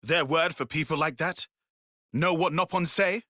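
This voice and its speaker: A man's voice: a little high, normal speed, pushed and bright, no echo, faint music behind. A man voicing a small, wry, know-it-all male creature in a video game, with quirky broken grammar. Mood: knowing, matter-of-fact. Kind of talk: video game cutscene acting.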